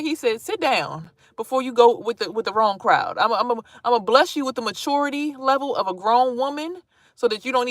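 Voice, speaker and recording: A woman's voice: a little high, no echo, studio quality. Blunt chatty woman in her thirties. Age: 30s. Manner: blunt, animated, swearing freely, chatty asides to viewers. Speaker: vlogger streaming to viewers. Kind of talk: casual live-stream talk.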